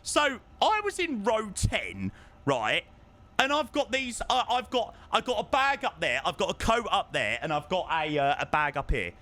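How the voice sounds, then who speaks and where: A young man chatting casually to his live-stream viewers, telling stories with lively, animated delivery and answering chat. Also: sits high, normal speed. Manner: chatty, animated storytelling, reads chat aloud. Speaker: flight sim streamer.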